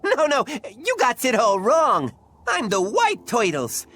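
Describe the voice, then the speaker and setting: A woman's voice: on the high side, huge pitch swings, slow, a bit bright, full character voice. A woman voicing a chipper, polite little male merchant creature with folksy sales patter, in a video game. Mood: chipper, eager. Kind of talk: video game cutscene acting.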